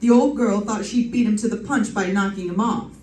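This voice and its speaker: A woman's voice: medium pitch, moderate pace, darkish tone, close to an everyday voice. A woman playing a wry, hard-boiled private detective in a live old-time radio mystery, telling it in slangy style. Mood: confident, explanatory. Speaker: radio drama performer. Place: live stage performance in a reverberant room. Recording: echoey room, picked up from a distance.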